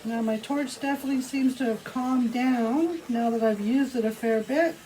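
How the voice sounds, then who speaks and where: Mellow woman narrating a craft demo, noisy background. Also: on the high side. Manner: unhurried step-by-step narration of own actions. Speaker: art tutorial host. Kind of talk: casual craft demonstration, talking while working. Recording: clearly audible background noise.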